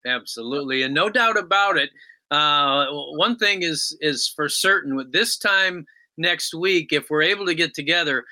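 A man hosts a casual sports podcast. His voice sits a little high and is extremely bright and sharp, with a conversational delivery. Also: normal speed, poor audio. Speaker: podcast host.

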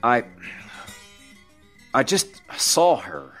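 A man's voice: medium pitch, huge pitch swings, drawn-out words, a bit bright, soft background music, pitched above the performer's own voice, heavily acted. A man voicing a blunt, uneasy trucker who speaks up sharply and tensely. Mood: troubled.

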